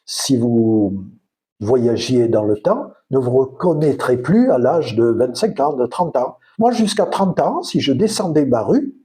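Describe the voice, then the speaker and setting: A man's voice: mid-range voice, lively intonation, unhurried, dark tone. A man in his fifties with a Provençal accent, hosting his own vlog in a reflective, rambling way with frequent hesitations. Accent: Provençal French. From southern France. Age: 50s. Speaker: channel host. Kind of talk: casual vlog monologue.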